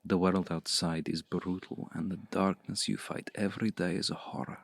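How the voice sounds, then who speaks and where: A man playing a seductive, commanding male vampire in an intimate ASMR roleplay, speaking slowly and deliberately in a hushed, breathy voice close to the mic. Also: flat delivery, no room sound. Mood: seductive, coaxing. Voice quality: low, gravelly, breathy. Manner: slow, deliberate, hushed, often breathy near-whisper. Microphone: close mic, dry.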